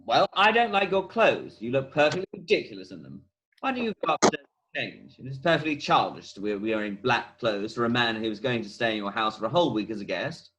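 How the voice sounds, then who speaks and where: A man reading a witty, vain and romantic young gentleman in an amateur play read-through on a video call. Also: mid-range voice, sing-song, fairly quick, slight background noise, poor audio. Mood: flippant, teasing.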